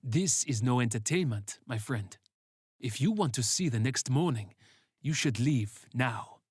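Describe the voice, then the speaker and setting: A solemn fighter from a sci-fi audio story, voiced by a narrator. The warnings come measured and grave, in a close, dry sound. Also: mid-range voice, expressive, darkish tone, slightly rough, no room sound.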